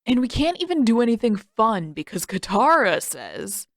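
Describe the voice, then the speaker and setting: A woman's voice: a little high, big pitch swings, moderate pace, no echo, clean studio sound, voice pushed up. A woman voicing a sarcastic, complaining teenage boy who grumbles through a story reading.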